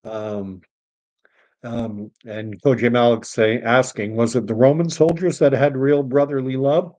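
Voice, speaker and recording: A man's voice: mid-range voice, steady tone, a little slow, a little mellow. A middle-aged man from Canada hosting a live stream, rambling with many fillers as he reads chat comments, his voice wearing out. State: losing his voice.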